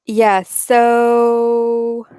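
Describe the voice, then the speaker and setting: A woman's voice: a little high, monotone, drawn-out words, warm tone, clear, resonant tone, no room sound, clean studio sound. A young woman with a Dutch accent, chatting bluntly with viewers on a live stream and reading out their comments. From Netherlands. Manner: blunt, outspoken, says it like it is.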